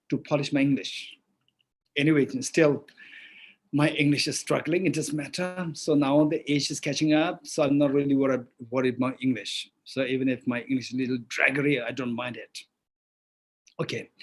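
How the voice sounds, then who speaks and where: A middle-aged man with as his gives a Buddhist teaching, asking himself questions and answering them, with many restarts. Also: a little high. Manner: lively, rhetorical self-questioning with frequent restarts. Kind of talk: dharma teaching.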